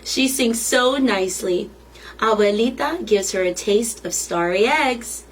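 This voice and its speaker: A woman's voice: a little high, a little slow, slightly rough. A woman reading a children's picture book aloud as a storytime reader, expressively and with questions to the listeners.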